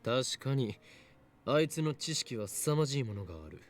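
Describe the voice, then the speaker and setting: An actor playing a grandiose young self-styled mad scientist in a Japanese visual novel, theatrical and pompous. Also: male voice, medium pitch, animated, fast talker, faint music behind, natural voice. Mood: grudging.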